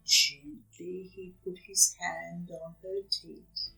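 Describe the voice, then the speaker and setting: Slow, dramatic woman storyteller. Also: lowish voice, flat delivery, gravelly, pushed and bright, recorded from a distance, faint music behind, poor audio. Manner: dramatic, with emphatic repetition and drawn-out words. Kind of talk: expressive children's read-aloud.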